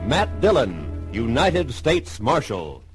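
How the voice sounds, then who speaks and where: A man's voice: medium pitch, wildly swooping pitch, takes it slowly, loud music behind the voice. A man announcing in a dramatic, declamatory old-time radio style, with emphatic phrasing. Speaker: program announcer.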